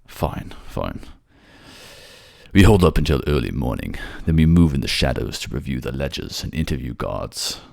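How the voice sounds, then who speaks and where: A man playing a brooding, guarded but devoted warrior king in a fantasy ASMR drama, speaking in a halting, subdued way. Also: sing-song, gravelly, darkish tone, dry recording, clean studio sound, heavily acted. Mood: grudging, resolute. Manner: halting, subdued, low-key.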